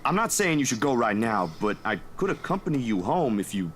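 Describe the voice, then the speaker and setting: Expressive, caring young man, voiced by an actor. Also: mid-range voice, a little fast, a little hiss or hum, lightly acted. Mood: protective, caring. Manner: casual, solicitous. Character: caring, protective young male friend, easygoing and concerned. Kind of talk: visual novel voice acting.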